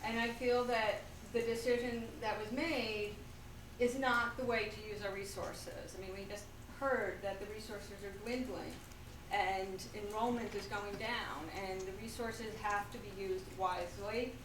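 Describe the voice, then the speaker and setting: A woman's voice: mid-range voice, steady tone. Woman speaking from afar in an echoey hall. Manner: earnest, halting with fillers. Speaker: school board candidate. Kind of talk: public comment at a town board meeting. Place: town meeting room. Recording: echoey room from far off, noisy.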